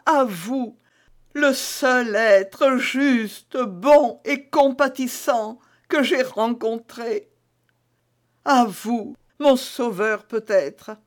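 Cast: scheming captive lady who begs in a high, impassioned voice, then plots, read by a man. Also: full character voice. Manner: breathless impassioned pleading, swinging to sly asides. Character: scheming imprisoned noblewoman, pleading and manipulative.

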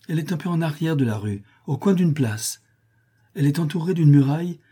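Man playing an earnest young desert tracker, husky. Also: a little high, dark tone, voice pushed up. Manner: earnest, emotional.

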